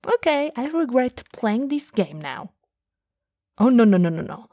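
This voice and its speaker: A woman performs a playful, teasing goth older sister in a romantic ASMR roleplay. Her voice is dark and husky with breath, often slipping toward a whisper. She sits very close to the mic in a dry, intimate recording. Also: on the high side, sing-song, measured pace, dry recording. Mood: playful, flirty. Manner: soft, breathy, drifting into whispers. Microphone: close, dry studio mic.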